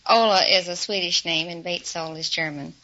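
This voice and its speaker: A woman's voice: lowish voice, steady tone, a little fast, pushed and bright. A woman from Texas giving short conversational answers as a contestant on a radio quiz. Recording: dull 1950s radio broadcast.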